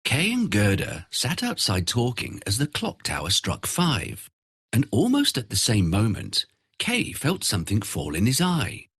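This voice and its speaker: Husky, very animated male storyteller. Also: mid-range voice, a little slow. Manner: expressive storytelling. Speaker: narrator. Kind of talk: scripted fairy-tale narration.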